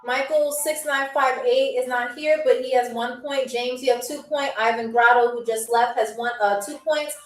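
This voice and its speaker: A woman's voice: fairly high pitch, fairly even intonation, normal speed, few pauses, distant mic. A woman from New York hosting a live-streamed trivia game show, chatting with viewers, laughing often and reading their comments aloud. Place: room in Albania. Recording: echoey room, voice a bit off-mic.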